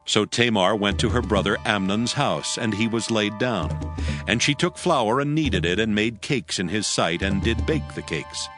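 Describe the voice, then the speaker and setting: Low-voiced male narrator, solemn, steady, soft music behind. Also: moderate pace. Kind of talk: dramatized scripture narration.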